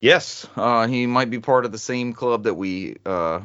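A man's voice: mid-range voice, measured pace, a bit bright, smooth, no room sound, highs cut off. A man hosting a gaming podcast with casual, joking banter and some swearing. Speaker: podcast host.

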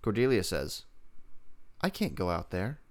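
A man's voice: deep voice, sing-song, a little slow. A man co-hosting a podcast in casual banter, with a playful, teasing manner that slips into mock quotes and sing-song. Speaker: podcast co-host.

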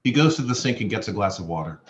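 A man's voice: on the low side, animated, fast talker. A man reading scripted stage directions as narrator for a play reading. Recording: roomy, muffled, slightly noisy microphone.

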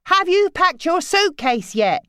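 A woman's voice: very high voice, big pitch swings, measured pace, a bit bright, dry recording, studio quality, voice pushed up, exaggerated voice. A woman voicing an exasperated, bossy middle-aged mother in an audiobook novel, nagging and scolding. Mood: impatient, bossy.

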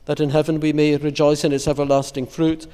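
A man solemnly reciting psalms, litanies and prayers in a measured, reverent, formal way as a prayer leader. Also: on the high side, little pitch movement, mellow, clear, resonant tone. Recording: long echoing church-like reverb.

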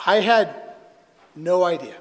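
A man preaching a sermon as a pastor, telling a personal story earnestly and haltingly, with dramatic pauses. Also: high voice, lively intonation. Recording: reverberant hall.

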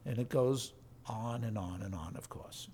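A man who is a law professor and center director, explaining in a measured, articulate way on a podcast. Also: fairly low pitch, mellow, slight background noise. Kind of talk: podcast interview.

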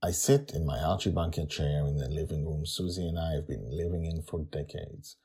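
A man's voice: rumbling low, steady tone, fairly quick, dark tone, husky, heavily acted. A man voicing a doting elderly grandpa who tells his own story in a reflective, protective way. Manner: reflective first-person storytelling with sudden calls.

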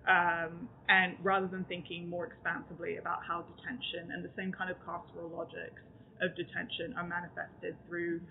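A woman author speaks to a room in a slightly gravelly, fairly level voice. She is thoughtful and academic, pausing to choose her words. The sound is thin and phone-like, with a light hiss underneath. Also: on the low side, monotone. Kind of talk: conversational academic talk at a book event. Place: event room in front of an audience. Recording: tinny phone-like sound with faint noise.

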